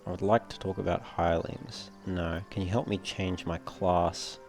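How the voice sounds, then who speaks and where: Low, gravelly male gaming host. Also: steady tone, moderate pace, a little mellow. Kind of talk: casual let's play commentary. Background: game music.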